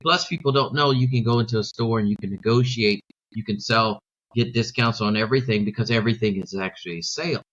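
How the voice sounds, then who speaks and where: A man's voice: on the low side, fast talker, a bit bright, lo-fi sound. A middle-aged man, a sales coach, talking emphatically in an interview and using rhetorical questions and repetition. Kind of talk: podcast interview.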